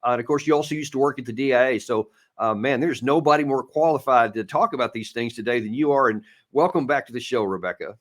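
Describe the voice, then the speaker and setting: A man interviewing a guest in a casual, somewhat halting way, often repeating words as he forms questions. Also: medium pitch. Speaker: show host interviewer.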